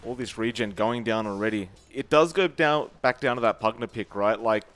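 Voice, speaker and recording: A man with an Australian accent, casting a competitive game match with brisk play-by-play and playful teasing of his co-caster. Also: mid-range voice, fairly bright tone. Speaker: esports caster.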